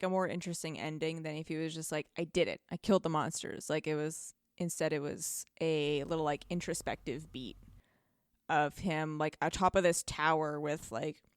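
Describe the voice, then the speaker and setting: A woman co-hosting a podcast, talking about video games in a blunt, informal, animated way. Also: sits low, creaky, dry recording, studio quality. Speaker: podcast co-host. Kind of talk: casual video game discussion.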